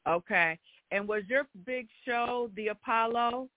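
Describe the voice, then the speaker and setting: High voiced male host, friendly interviewer, sounds like a phone call, dry. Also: a little slow, a little hiss or hum. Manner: friendly, affirming, repeats the guest's words back. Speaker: show host. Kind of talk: casual interview chat. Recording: tinny phone-like line, dry.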